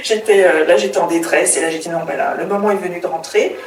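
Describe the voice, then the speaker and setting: A middle-aged woman from France, telling her life story in a relaxed interview, with lots of fillers and restarts. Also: lowish voice, fast talker. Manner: chatty and reflective, with many fillers and restarts. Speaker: author and former teacher, interview guest. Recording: roomy, distant mic with faint noise.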